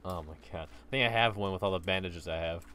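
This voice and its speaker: A man's voice: sits low, fast talker, bright, forceful tone. A young man from Ecuador streaming a game on a headset mic, joking and laughing often with a friend. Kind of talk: casual gaming chat. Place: indoor room at a computer.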